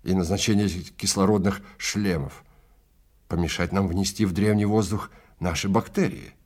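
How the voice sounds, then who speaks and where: A man's voice: sits low, fairly even intonation, moderate pace, darkish tone. A man playing a stern, contemptuous male time-travel guide in an audio drama, lecturing and commanding with great emphasis. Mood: measured caution. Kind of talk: Russian audio drama acting.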